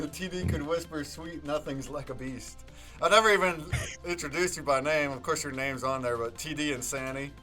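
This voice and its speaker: A man interviewing guests on a live stream, chatting in a relaxed, friendly way and laughing easily. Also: on the high side, normal speed, faint music behind.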